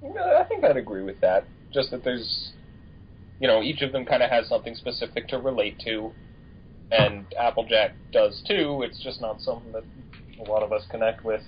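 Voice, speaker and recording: A man from the United States co-hosting a casual fan podcast, talking in a measured, politely hedging way. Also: mid-range voice, big pitch swings, slight background noise. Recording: dull, lo-fi voice call audio.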